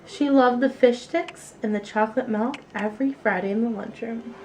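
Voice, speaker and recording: A woman storyteller reading a children's picture book aloud with expressive, deliberate phrasing. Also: a little high, slight background noise, rough recording.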